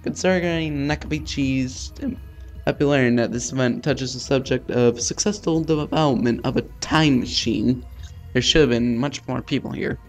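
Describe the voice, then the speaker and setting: A man's voice: medium pitch, moderate pace. A man hosting a let's play, reading a visual novel aloud casually, stumbling over names and swearing offhandedly in his asides. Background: music.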